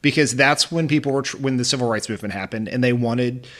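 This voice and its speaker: A man's voice: mid-range voice, quick pace, fairly bright tone. An American man co-hosting a podcast, making casual, blunt and sardonic political commentary. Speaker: podcast co-host.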